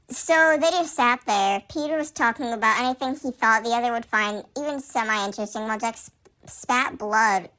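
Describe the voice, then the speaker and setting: A woman's voice: on the high side, sharp tone, rough recording. A woman reading fan fiction aloud through a voice changer in a casual way, with sarcastic asides and frequent stumbles over the words.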